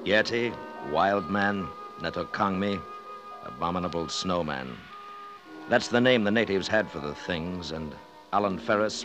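Music plays prominently around a man acting a resolute expedition leader in vintage radio drama. The voice is very low, with dramatic, deliberate delivery suited to adventure narration. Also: close to an everyday voice, low-quality recording.

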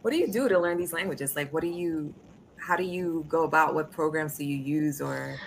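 Woman co-host; very low, gravelly voice; chatty, stumbling questions; faint background noise. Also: normal speed, rough recording. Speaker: interview co-host. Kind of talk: casual interview questions.

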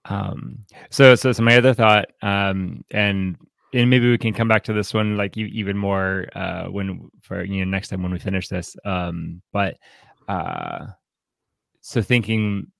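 A man co-hosting a casual book discussion, speaking haltingly and repeating words as he thinks aloud. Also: lowish voice, creaky, a bit bright, no room sound, clean studio sound. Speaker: podcast co-host and writer.

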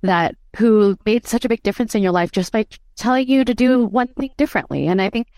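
A woman's voice: mid-range voice, brisk, darkish tone, no echo. A woman whose first language is Vietnamese, hosting a podcast and responding to her guest in an effusive, affirming way. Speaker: podcast host. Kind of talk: casual interview chat.

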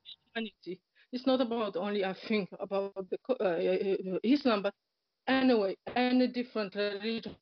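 Guest: woman, Polish accent, Polish native speaker, hesitant storyteller who laughs softly, lo-fi dry call audio. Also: mid-range voice, normal speed, no echo. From Poland. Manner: hesitant, many fillers, nonnative grammar, frequent soft laughs. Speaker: interview guest. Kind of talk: personal story in casual conversation. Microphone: online call. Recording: dull, lo-fi internet call audio.

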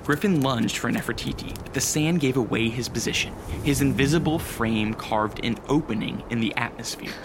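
A man's voice: medium pitch, normal speed, hardly any pauses, noisy background, natural voice. A man playing a grave, suspenseful narrator in a scripted radio drama, precise and solemn.

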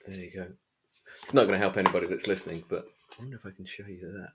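Deep-voiced Englishman chatting about books. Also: very fast, background noise. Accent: English East Midlands. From Derbyshire, England. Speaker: podcast host. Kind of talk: casual book discussion chat.

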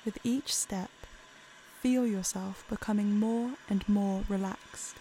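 A woman narrating a guided meditation in a calm, soothing, unhurried way. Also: medium pitch, a little hiss or hum, rough recording.

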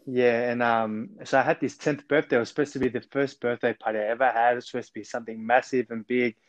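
A man's voice: mid-range voice, steady tone, fast, no echo. A man in his twenties with an Australian accent, a podcast guest on a remote call, telling his story earnestly with many ums and restarts. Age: 20s.

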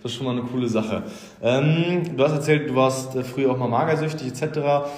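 A man's voice: mid-range voice, quick pace, darkish tone. A man hosting a casual interview as a fitness coach, rambling with many fillers and doubled words, recorded in an office. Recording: echoey room with long reverb.

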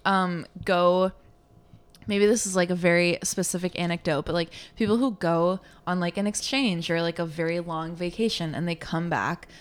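A woman in a casual group chat who rambles, laughs often and adds quick asides. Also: lowish voice, fairly even intonation, clear, resonant tone.

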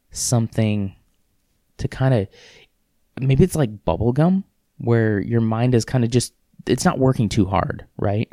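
A man with a low, mellow voice and a gravelly edge, talking through his thoughts on a solo podcast. He rambles in a relaxed, self-deprecating way, restarting his sentences, with an occasional sniffle. The sound is dry and close. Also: no room sound. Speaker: podcast host. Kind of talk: casual solo podcast monologue.

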